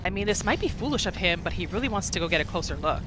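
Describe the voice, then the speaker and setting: A woman's voice: on the low side, expressive, very fast, music in the background. A woman giving casual game commentary on her roleplay playthrough, thinking aloud and exclaiming readily. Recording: clear noise behind the voice.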